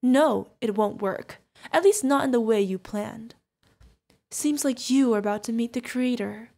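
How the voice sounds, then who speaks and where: A woman's voice: on the high side, measured pace, creaky, dark tone. A woman playing a fierce yet tender witch mentor who is protective of an adopted pupil, in an emotional fantasy audio roleplay. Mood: defiant, commanding. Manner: emotional, commanding, affectionate, pleading. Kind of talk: ASMR fantasy roleplay acting.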